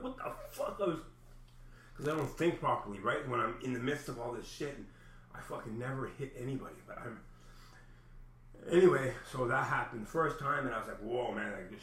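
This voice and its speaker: A man's voice: on the high side, a bit bright, slight background noise. A man with a big voice telling a personal story in a rambling, agitated, heavily profane and casual way. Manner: profane, heavy swearing, agitated retelling. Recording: echoey room.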